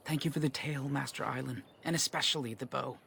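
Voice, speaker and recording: A man's voice: medium pitch, fairly even intonation, fast talker, creaky, a bit bright, a little hiss or hum. An actor playing a respectful young male elf hunter in a fantasy game, speaking formally and earnestly. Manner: formal, grateful, deferential.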